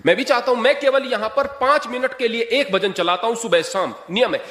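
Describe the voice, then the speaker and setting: A man's voice: very high-pitched, brisk, a bit bright. A man giving an earnest, explanatory statement as a holy man, reasoning formally in learned wording, recorded in a reverberant room.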